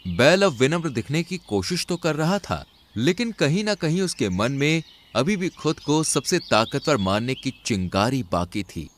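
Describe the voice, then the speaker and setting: A man's voice: medium pitch, big pitch swings, a little slow, slight background noise. A man narrating an animal fable in a dramatic storytelling style.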